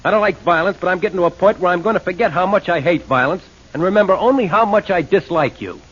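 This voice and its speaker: A man's voice: sits high, quick pace, fairly bright tone, slight background noise. An actor playing a touchy, defensive male songwriter, in 1940s radio acting. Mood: irritated. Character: touchy male lyricist, quick-tempered and defensive. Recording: dull old radio broadcast with music.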